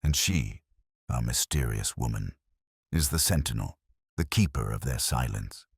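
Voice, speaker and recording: A man voicing a cool, precise, commanding detective in a measured, deliberate audiobook performance. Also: a bass voice, lively intonation, slightly rough, dry recording, studio quality, pitched below the performer's own voice, heavily acted.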